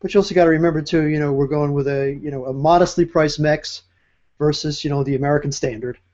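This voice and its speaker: A man with a fairly high, mellow voice talks in a loose, rambling way, full of fillers and restarts. The sound is dull and lo-fi. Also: steady tone, fast. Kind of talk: casual chat.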